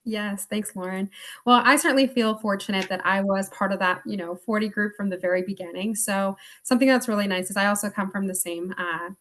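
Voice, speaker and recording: A woman's voice: mid-range voice, fairly quick, fairly bright tone. A woman in her thirties speaking on a webinar over a video call, chatty and upbeat, with frequent fillers. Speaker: marketing communications specialist. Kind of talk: webinar presentation.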